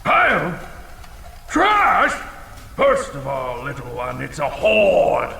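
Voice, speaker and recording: A man voicing a battle-scarred old dragon in an audio drama, fiercely proud and bombastic, with a gravelly, folksy delivery. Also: on the high side, huge pitch swings, slow pace, bright, forceful tone, heavily acted. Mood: blustering, excited. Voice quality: gravelly, creaky. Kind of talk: audio drama character acting. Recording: reverberant chamber, some background noise.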